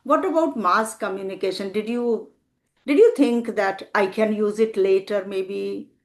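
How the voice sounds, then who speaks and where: An older woman, a retired teacher, interviewing a guest in a slow, encouraging conversational style with long framing questions. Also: medium pitch, a little mellow. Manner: thoughtful, pauses with "uh", asks long framing questions. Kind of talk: slow, encouraging conversation in English as a second language.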